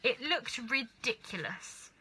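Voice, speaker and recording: A woman reading a children's story aloud as narrator, with expressive scripted narration. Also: fairly high pitch, slow, faint music behind. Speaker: storybook reader.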